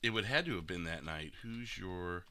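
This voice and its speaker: Man, very low pitch, sharp forceful tone, podcast host, casual chat. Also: expressive, normal speed.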